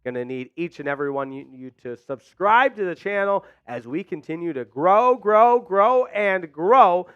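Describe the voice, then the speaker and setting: Energetic man hosting sports talk. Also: high voice, moderate pace, hardly any pauses, smooth, no room sound, highs cut off. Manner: energetic, emphatic. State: losing his voice. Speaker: sports show host. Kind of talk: live sports talk show. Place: small studio, indoors. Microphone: headset mic.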